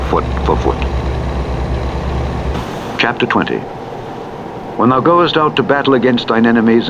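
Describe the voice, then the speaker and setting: A man narrating the Bible in a solemn, formal and measured way, with deliberate phrasing. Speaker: audiobook narrator reading scripture. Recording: muffled radio-like audio under loud truck noise. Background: loud vehicle rumble from a truck driving game.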